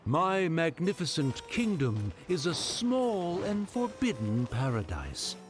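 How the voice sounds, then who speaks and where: Game chronicler: solemn and grandiose, fairly high for a man, unhurried, music behind. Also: huge pitch swings, full character voice. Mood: solemn, reverent. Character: solemn storyteller reciting a grand fantasy scripture. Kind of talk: video game scripted narration. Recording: clear background music.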